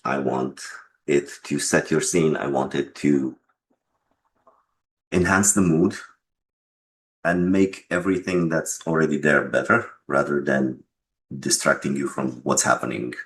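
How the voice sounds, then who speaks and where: A man explaining his creative choices in an interview, measured in manner, on a noisy mic with clicks. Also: rumbling low, steady tone, a bit bright. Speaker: game developer being interviewed.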